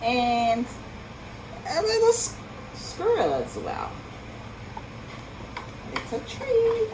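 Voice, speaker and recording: A woman reading a story aloud for young children in an expressive, sing-song way, pausing to ask her listeners questions. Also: very high-pitched, noisy background. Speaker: children's storytime reader and teacher.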